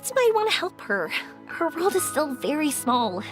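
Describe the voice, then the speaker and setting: A woman playing a kind-hearted, earnest young heroine in an anime game, youthful and expressive. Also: high-pitched, normal speed. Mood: earnest, sympathetic. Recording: music behind the voice. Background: game music.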